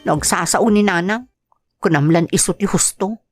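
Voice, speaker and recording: A woman acting a resentful, sharp-tongued young wife and mother who complains and argues, with an Ilocano accent, in a radio-style drama. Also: lowish voice, normal speed, no echo, soft background music, natural voice. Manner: complaining, argumentative. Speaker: voice actress.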